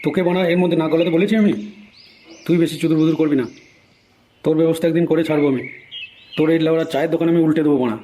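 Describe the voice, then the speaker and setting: A man's voice: a little high, fairly even intonation, rapid-fire, pauses often, mellow, slight background noise, lightly acted. A man playing a hot-tempered, foul-mouthed married neighbourhood man in a comedy cartoon, scolding and threatening. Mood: angry, scolding. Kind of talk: amateur comedy cartoon dialogue.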